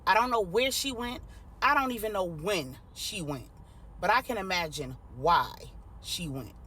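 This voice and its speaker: A woman storyteller playing a stern, protective woman who speaks slowly and pointedly in a dramatic story. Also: medium pitch, lively intonation, sharp tone, slight background noise. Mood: accusing. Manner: slow, pointed, deliberate. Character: stern, protective woman confronting an abusive husband.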